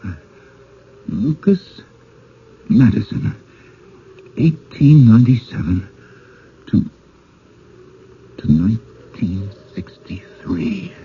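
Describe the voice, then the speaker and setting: An actor in an old-time radio drama playing an impatient, bossy American husband. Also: male voice, mid-range voice, sing-song, takes it slowly, creaky, pauses often, heavily acted. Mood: uneasy, stunned. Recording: muffled old radio recording, hiss.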